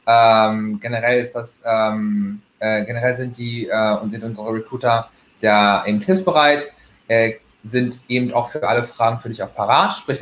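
A man's voice: mid-range voice, a bit bright, slight background noise. Hesitant man in his thirties, echoey room. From Germany. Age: 30s. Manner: hesitant, many fillers and restarts. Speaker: employee giving a testimonial. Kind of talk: casual recruitment testimonial. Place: bright room at home, indoors. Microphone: laptop webcam, video call. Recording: echoey room, laptop mic.

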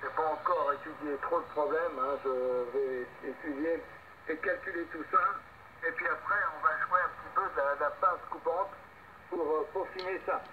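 An older man speaking haltingly and casually as a ham operator over two-way radio. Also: fairly high pitch, fairly even intonation. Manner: halting, hesitant phrasing. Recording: tinny noisy radio link.

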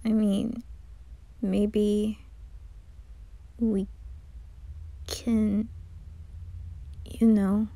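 Woman playing a mellow, tender boy in love. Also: mid-range voice, level tone, drawn-out words, long pauses, no echo. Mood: earnest. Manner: gentle, breathy, often near whispering. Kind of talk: amateur romantic ASMR roleplay.

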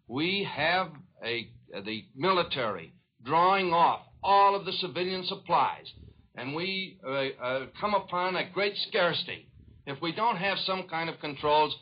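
American male union official, 1940s radio interviewee, highish voice, halting and emphatic, muffled vintage sound. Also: lively intonation, slightly rough. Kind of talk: unscripted interview answers. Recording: dull 1940s radio recording.

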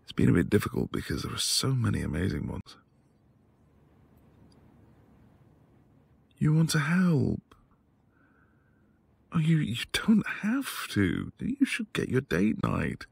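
A man acting a quiet, tender professor husband in an intimate boyfriend ASMR roleplay, soft-spoken and hesitant. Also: medium pitch, huge pitch swings, a little slow, creaky, husky, dry recording, exaggerated voice. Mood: tender, reassuring. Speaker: audio roleplay voice actor.

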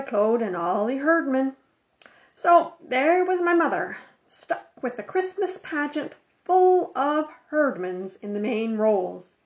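A woman with a fairly high voice reads a children's storybook aloud. Her delivery is expressive storytelling. The recording is a little dull and lo-fi. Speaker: audiobook reader.

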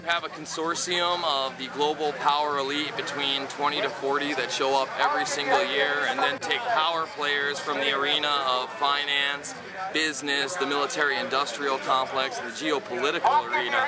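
A man's voice: fairly high pitch, moderate pace. Young man speaking emphatically outdoors. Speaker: activist. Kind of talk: talking to camera outdoors. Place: outdoors in a park. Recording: crowd noise behind.

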